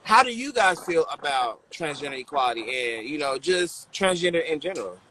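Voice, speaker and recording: Guy with a bright sharp voice rambling on a podcast, keeps hunting for words. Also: fairly high pitch, big pitch swings, a little fast, slight background noise, rough recording. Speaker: podcast co-host. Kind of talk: casual chat.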